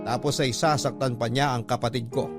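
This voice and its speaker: A man's voice: medium pitch, fairly even intonation, a little fast. A man reading a listener's love-story letter aloud in first person, in earnest radio-drama narration. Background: background music.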